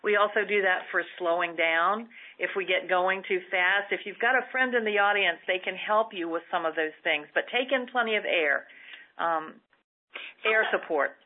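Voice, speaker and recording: Woman co-host, steady and practical, heard through a phone-like line. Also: fairly low pitch, normal speed. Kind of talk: call-in advice show.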